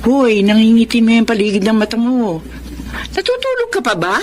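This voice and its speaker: A woman's voice: a little high, very animated, moderate pace, a little mellow, slight background noise. An actress playing a frank, chatty, teasing and loyal woman friend in a Tagalog radio drama, with a Filipino accent. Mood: nosy, frank. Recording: music bed under radio drama.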